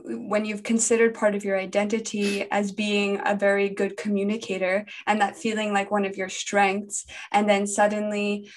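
A woman's voice: mid-range voice, completely flat delivery, normal speed, few pauses. A young woman from Indonesia, a medical trainee, talking earnestly and fluently about her experiences in an interview. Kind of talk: personal interview talk.

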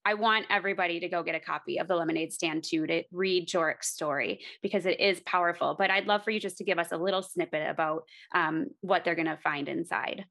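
A woman's voice: fairly low pitch, fast talker, creaky, hardly any pauses, fairly bright tone. A woman hosting a podcast interview, talking in an effusive, enthusiastic way with her guest. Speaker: podcast host.

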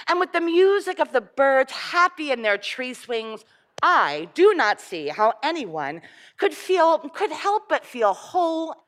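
A woman's voice: sits high, pitched above the performer's own voice, full character voice. A woman voicing a tiny, cheerful male forest elf, with a sing-song, chirpy, playful manner.

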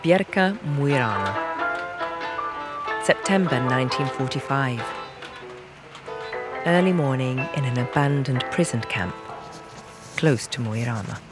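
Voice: medium pitch, expressive, a little slow, long pauses. A narrator reading scripted announcements and historical notes for an audio drama in a documentary style. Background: music.

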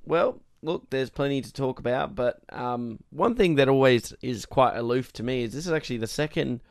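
A man in his twenties co-hosting a film podcast, speaking in a relaxed, raspy voice with frequent fillers. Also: medium pitch, moderate pace, no room sound, studio quality. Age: 20s. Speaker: podcast co-host. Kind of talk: casual film podcast chat.

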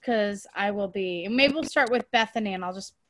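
A woman who is a dating coach, hosting a casual podcast chat in a teasing, animated way. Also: mid-range voice, fairly quick, dry recording, clean studio sound. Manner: teasing, animated, laughs often.

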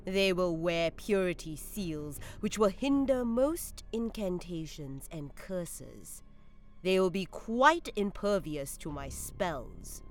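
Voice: medium pitch, lively intonation, takes it slowly. A performer voicing a cool, calculating traitor psyker who speaks in a precise way. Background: music.

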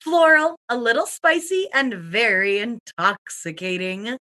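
A woman's voice: on the high side, unhurried, pushed and bright. A woman playing an eager, gossipy guest co-host on a radio show in an audio comedy, with a dramatic, knowing and insistent delivery.

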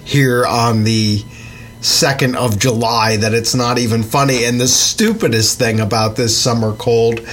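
A man's voice: mid-range voice, fairly even intonation, measured pace, soft background music. A middle-aged man from Pennsylvania hosting a podcast, stuffed up with a summer cold, chatting in a rambling way with many fillers. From Pennsylvania, USA. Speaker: podcast host and magazine editor. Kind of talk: casual podcast chat.

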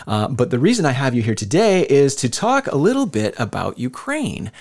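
A man's voice: mid-range voice, wildly swooping pitch, moderate pace, darkish tone. A man hosting a podcast, talking enthusiastically with lots of fillers and restarts. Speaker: podcast host. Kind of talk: casual interview hosting.

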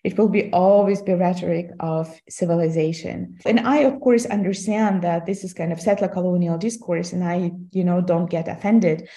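A woman from Kazakhstan whose first language is Russian, a history lecturer explaining her points in measured English with frequent "you know" fillers. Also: lowish voice, steady tone, warm tone. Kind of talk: thoughtful academic explanation.

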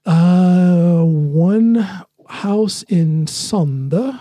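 A man's voice: high-pitched, drawn-out words, warm tone, no echo, clean studio sound. An American man hosting a podcast, talking casually and telling personal stories. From United States. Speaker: podcast host. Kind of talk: casual conversation, personal storytelling.

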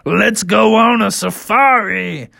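A man voicing a jolly, hearty triceratops, hollering in drawn-out words for a children's story. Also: sits high, vocal fry, pushed and bright, dry recording, clean studio sound, voice pushed up, exaggerated voice. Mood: jolly, inviting. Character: jolly, hearty triceratops who loves giving rides.

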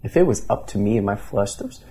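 A man in his thirties from Indiana speaks as a pastor being interviewed. His voice sits low with a gravelly edge and a husky, mellow tone. He talks earnestly, restarting his sentences often. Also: animated, a little fast. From Indiana, USA. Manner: earnest, many restarts and fillers. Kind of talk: conversational interview storytelling.

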